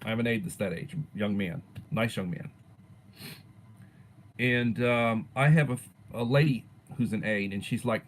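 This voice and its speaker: A man in his sixties, a teacher chatting casually on his own livestream and answering viewers, rambling and letting his sentences fade softly at the ends. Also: on the low side, a little slow, slight background noise. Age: 60s. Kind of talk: casual livestream chat reading viewer comments.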